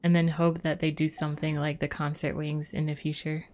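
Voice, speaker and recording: Low-voiced woman streamer chatting casually. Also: level tone, brisk, a little mellow, slight background noise. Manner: rambling, musing aloud. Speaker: game livestreamer. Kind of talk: casual chat while gaming. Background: game music.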